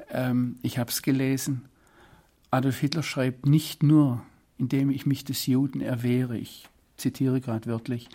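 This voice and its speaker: A man from Swabia, giving earnest, deliberate interview answers. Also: mid-range voice, darkish tone. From Swabia, Germany. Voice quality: husky. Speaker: interview guest.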